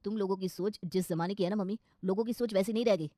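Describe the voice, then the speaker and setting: An outspoken young son, acted out by a woman in a cartoon moral tale. He talks very quickly, with blunt sarcasm, in a dry close recording. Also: mid-range voice, warm tone, no echo, lightly acted. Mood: exasperated.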